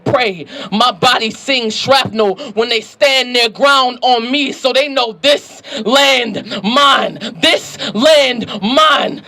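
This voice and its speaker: High-pitched American man performing spoken-word poetry. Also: sharp tone. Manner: emphatic, rhythmic, declamatory delivery. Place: outdoor plaza at a rally.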